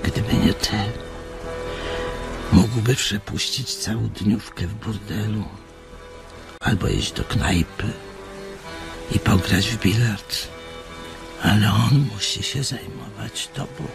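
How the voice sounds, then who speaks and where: Ghostly old auntie nagging, slow and creaky, radio play. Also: male voice, over music. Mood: reproachful. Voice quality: low, creaky. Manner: reproachful, nagging. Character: scolding old aunt, an imagined apparition. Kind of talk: radio drama acting.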